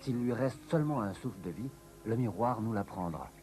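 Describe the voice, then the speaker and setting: A man plays an earnest young king in a cartoon. His voice is fairly low and courtly, with dramatic, lively rises and falls over clear music. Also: brisk, lightly acted. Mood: tender, solemn. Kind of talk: French-language cartoon dub acting.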